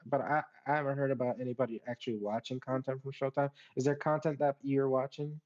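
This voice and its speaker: Male podcast co-host, average pitch, casual and questioning, slightly muffled lo-fi audio. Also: steady tone, fast, a little mellow. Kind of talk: casual group chat.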